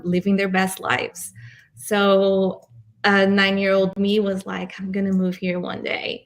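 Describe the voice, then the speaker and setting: A woman in her forties from Brazil with a Brazilian accent, answering interview questions in a candid, reflective, conversational way. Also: medium pitch. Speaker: interview guest, arts nonprofit director.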